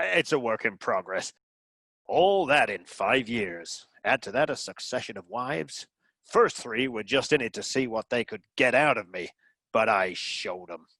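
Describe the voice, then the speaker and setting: An actor playing a boastful, wealthy tycoon in a comedy radio play, folksy and full of crude jokes. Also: male voice, mid-range voice, a bit bright, no echo. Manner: boastful, folksy, innuendo-laden.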